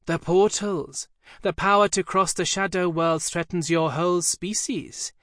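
A male narrator voicing a petulant female demon that speaks through a dying dog, with a clear piping voice and a literal, pedantic manner. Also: sits high, steady tone, unhurried, a bit bright, no echo, studio quality, voice pushed up. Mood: ominous.

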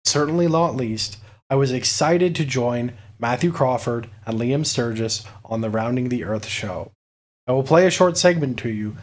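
A man narrating scripted announcements as the host of his own podcast. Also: medium pitch.

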